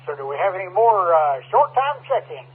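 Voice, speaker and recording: A man's voice: sits high, very animated, fairly quick, slight background noise. A man running an amateur radio check-in net in a folksy, casual way, often repeating and correcting himself. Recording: narrow telephone-like ham radio audio.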